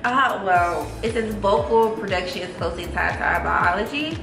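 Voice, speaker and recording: A woman's voice: medium pitch, normal speed, creaky. A young woman hosting a casual vlog, chatting through facts with light giggles. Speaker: vlog host. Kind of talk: casual chat. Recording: roomy echo with music behind.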